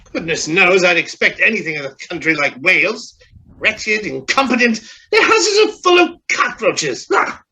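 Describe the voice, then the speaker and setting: A man playing an irascible, scornful gentleman in a radio drama, sputtering and exclaiming as he blusters. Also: sits high, very animated, unhurried, heavily acted. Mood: scornful grumbling. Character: irascible, blustering male visitor trapped in a coal mine. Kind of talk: stage-like radio drama acting.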